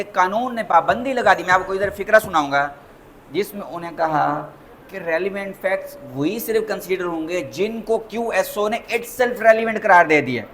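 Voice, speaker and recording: A man's voice: high-pitched, fairly bright tone, slight background noise. A man with a Pakistani accent giving an interactive lecture as a lawyer, repeating points and asking rhetorical questions, mixing in English terms.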